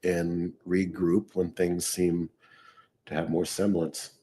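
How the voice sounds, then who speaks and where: A man hosting a live trading show, thinking aloud as he works, his voice hoarse and fading. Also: low voice, flat delivery, slow pace, warm tone. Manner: thinking aloud while working on screen.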